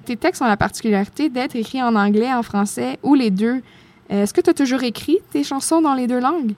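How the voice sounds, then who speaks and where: Halting woman interviewer. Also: on the high side, darkish tone. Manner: halting, restarts her questions. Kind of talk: casual interview questions.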